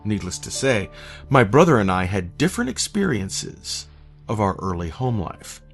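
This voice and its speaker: A man reading a scripted first-person horror story in a measured, somber, literary manner. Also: lowish voice, big pitch swings, soft background music.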